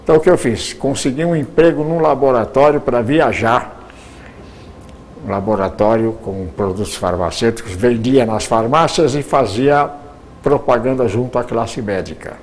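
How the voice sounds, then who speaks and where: A man's voice: mid-range voice, normal speed, darkish tone, a little hiss or hum. An older man, a retired radio announcer telling his life story, rambling with frequent restarts.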